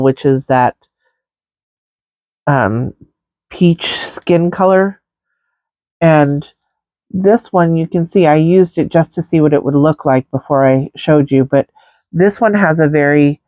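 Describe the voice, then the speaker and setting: A woman's voice: low voice, warm tone, dry recording. A woman with a stuffy, congested nose chatting casually through a makeup tutorial, rambling with many fillers. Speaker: makeup vlogger.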